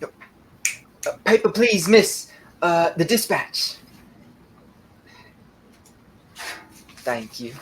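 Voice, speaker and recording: Male actor, excitable working-class passenger, chatty and swooping in pitch, 1930s radio drama. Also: slow pace, heavily acted. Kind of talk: 1930s radio play acting.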